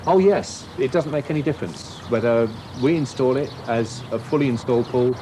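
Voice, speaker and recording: A man giving a measured, matter-of-fact explanation as a company representative in an instructional sales film. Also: medium pitch, background noise, close to an everyday voice.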